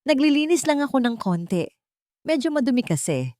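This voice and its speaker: A woman's voice: high-pitched, sing-song, a little fast, dark tone, dry recording, clean studio sound, voice pushed up. A woman voicing a weary, self-effacing elderly mother with a timid, placating manner in an audio drama. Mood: flustered, placating.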